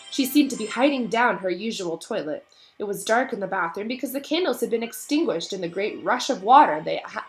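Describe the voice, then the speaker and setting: Animated woman narrating a children's novel. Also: mid-range voice, rough recording. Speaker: read-aloud narrator.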